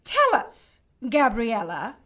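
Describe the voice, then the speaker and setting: A haughty angelic inquisitor in an audio drama. The voice is high and piercingly bright, with sweeping pitch. She is imperious and mocking, every inch the domineering cherub. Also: wildly swooping pitch, slow, exaggerated voice. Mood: accusing.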